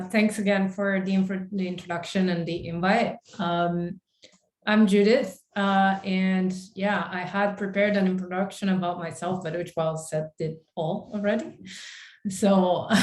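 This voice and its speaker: Woman giving a talk, echoey room. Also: mid-range voice. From Italy. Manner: chatty, many fillers, self-deprecating. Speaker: invited speaker. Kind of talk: conference talk with slides. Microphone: video call webcam.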